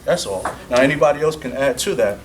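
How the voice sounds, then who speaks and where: A man's voice: mid-range voice, fast talker. Man, plainspoken, explaining scripture, distant mic, noisy background. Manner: plainspoken, repeats "basically". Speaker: congregation teacher. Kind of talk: explaining scripture in a group discussion.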